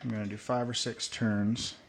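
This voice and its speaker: A man hosting a hands-on tutorial, thinking aloud in a relaxed way while working at a workbench. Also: fairly low pitch, normal speed, creaky, a little hiss or hum. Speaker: video host.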